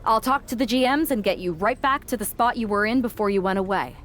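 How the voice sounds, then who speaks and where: A woman playing a persuasive wrestling team recruiter, speaking in a measured, pointed way in a video game cutscene. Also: fairly high pitch, a bit bright, lightly acted.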